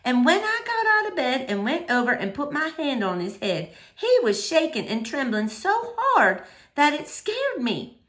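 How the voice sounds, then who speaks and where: A woman reading a children's novel aloud in an expressive, animated storytelling manner. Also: high-pitched, measured pace. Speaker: storybook reader.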